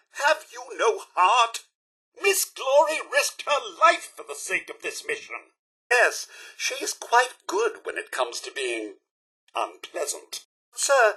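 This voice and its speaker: A fussy British robot butler for a video game. The voice is high and sharp with a raspy edge. He speaks with formal, theatrical politeness, his pitch swinging widely, ever ready to moralize. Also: exaggerated voice. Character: fussy, proper male robot butler, polite, easily indignant. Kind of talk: video game character voice lines.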